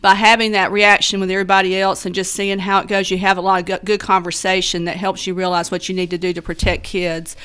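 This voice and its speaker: A woman guest on a radio interview speaks earnestly in a smooth, clear voice at a middling pitch. She works through her points with plenty of fillers and restarts, in a casual, sincere way. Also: flat delivery, fast, hardly any pauses, a bit bright. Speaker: guest, Rotary Club member; Sunday school teacher. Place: radio studio.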